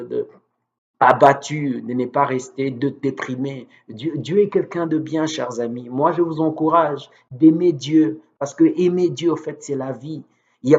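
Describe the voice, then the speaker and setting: A man who is a native Lingala speaker preaches informally on video, earnest and devout, hesitating and repeating words as he goes. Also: medium pitch. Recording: dull, lo-fi sound.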